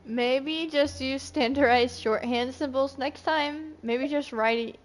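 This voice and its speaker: Excitable high-voiced woman streamer. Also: slow pace, poor audio. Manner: excitable, chatty. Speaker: game streamer. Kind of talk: casual livestream commentary, reading chat and game text.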